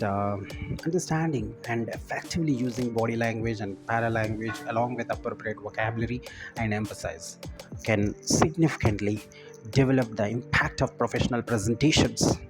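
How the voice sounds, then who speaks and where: Male lecturer, lowish pitch, didactic and halting delivery, music bed underneath. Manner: didactic, halting, repeats key points. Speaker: instructor. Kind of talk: lecture explaining presentation slides. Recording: music behind the voice. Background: background music.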